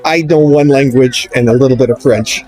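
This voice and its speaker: A man's voice: medium pitch, moderate pace, soft background music, poor audio. An older man from Canada, a lawyer near retirement, answering street interview questions in a friendly, easygoing way. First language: English. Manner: friendly, relaxed, repeats words when starting. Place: outdoors on a city street.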